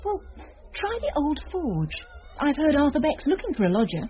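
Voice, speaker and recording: A wry British schoolteacher, her voice fairly high and very animated. She gossips drily and teasingly in a slightly muffled radio drama. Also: normal speed, faint music behind. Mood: wry, gossipy. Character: wry, worldly grammar school teacher. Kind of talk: radio drama acting, conversational. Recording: slightly dull radio recording, background noise.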